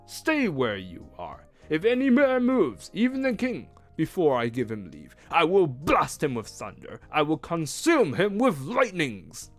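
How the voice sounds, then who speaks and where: A man's voice: on the high side, wildly swooping pitch, unhurried, few pauses, voice pushed up. A man voicing a grandiose storybook bluffer posing as a mighty sorcerer, imperious and declamatory. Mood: thundering threat. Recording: music behind.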